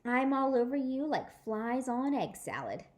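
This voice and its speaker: A woman's voice: sits high, very animated, a little slow, pitched above the performer's own voice. Woman doing bossy, boastful little girl May. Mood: grouchy. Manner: loud, gloating, grouchy.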